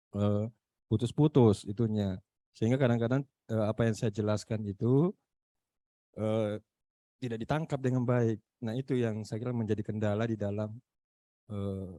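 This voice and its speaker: Makassar-accented male lecturer, very mellow and dark tone, halting speech full of fillers, dry video-call audio. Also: on the low side, no room sound. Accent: Makassar Indonesian. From Makassar, South Sulawesi. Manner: halting, many fillers and searching for words. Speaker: university lecturer. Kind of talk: online meeting remarks.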